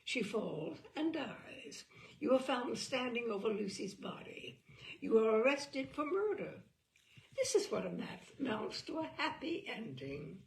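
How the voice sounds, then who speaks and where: A woman reading a book aloud as a storyteller, theatrical and expressive, with a wry, arch delivery. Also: on the high side, measured pace, vocal fry, a little hiss or hum.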